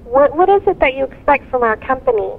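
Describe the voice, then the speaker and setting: A woman's voice: high voice, expressive, fast, creaky. A woman telemarketer on a sales call, questioning insistently with an exasperated manner over a phone line. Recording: tinny phone line, faint noise.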